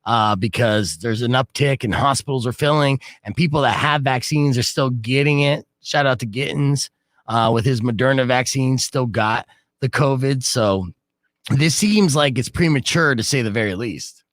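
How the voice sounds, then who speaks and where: A man's voice: normal speed, no echo. A man hosting a radio show, telling stories and bantering casually with his co-hosts. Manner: animated storyteller, jumps loud and high when excited. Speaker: radio DJ and host. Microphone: studio microphone.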